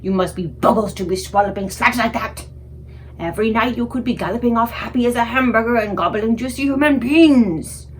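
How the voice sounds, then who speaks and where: A woman's voice: a little fast, slight background noise, lightly acted. Woman voicing a blustering, greedy man-eating giant. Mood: furious. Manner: booming, blustering, shouting. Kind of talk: children's storybook read-aloud.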